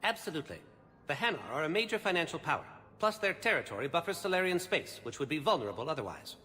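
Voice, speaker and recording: A fast, animated alien agent, assertive and formal, briefing in a video game. His forceful voice rings with long reverb. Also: a little high. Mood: urgent, assured. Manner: crisp, formal, emphatic. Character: brisk, assertive male alien special agent. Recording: long reverb, faint background ambience.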